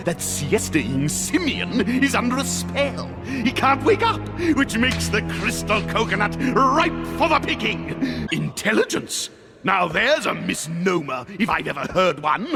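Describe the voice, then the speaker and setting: A pompous reptile tyrant from a cartoon, pitched high and swooping wildly from note to note. He stretches his florid words slowly, dripping with sarcasm and exasperated grandeur, like a schemer who thinks himself above everyone. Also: big pitch swings, gravelly, exaggerated voice. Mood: gloating. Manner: theatrical, florid, sarcastic, drawn-out delivery. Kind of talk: animated cartoon villain acting. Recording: cartoon soundtrack with loud background music. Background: music.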